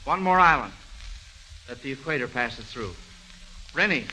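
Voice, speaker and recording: A man's voice: mid-range voice, lively intonation, moderate pace, sharp tone. A man with an American accent hosting a 1940s radio quiz show in a folksy, avuncular, hesitant patter. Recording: noisy, hissy old radio broadcast recording.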